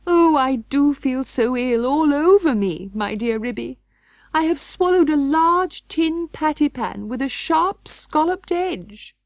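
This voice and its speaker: An excitable lady dog from a storybook, flustered and prone to wailing. The voice sits high and swoops dramatically up and down. The delivery is theatrical and anxious, drawn out in a dry, close recording. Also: takes it slowly, no echo, full character voice. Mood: wailing, panicked. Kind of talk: audiobook storybook character voice.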